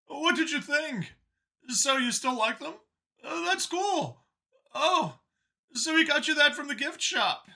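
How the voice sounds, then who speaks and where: A man voicing an anxious alien father who pleads and gushes haltingly in a strained voice, for a story. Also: expressive, gravelly, sharp tone, heavily acted. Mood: eager, awkward. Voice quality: high, strained voice. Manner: halting, hesitant, gushing and apologetic by turns.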